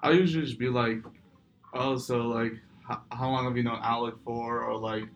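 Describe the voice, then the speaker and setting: Young cali dude, arabic speaker, rambles casually, kinda flat and gravelly, bit of noise behind. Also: male voice, medium pitch, normal speed, muffled recording. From San Francisco, United States. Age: young adult. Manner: talkative, slangy, meandering. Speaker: podcast guest. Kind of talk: casual chat. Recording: faint background noise.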